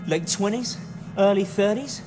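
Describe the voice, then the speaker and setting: Whiny cowardly hired goon from a game, high pitched, slow talker. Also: male voice, music in the background. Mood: resigned, chatty. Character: cowardly hapless hired gunman, simple, chatty working man. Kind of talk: video game cutscene acting.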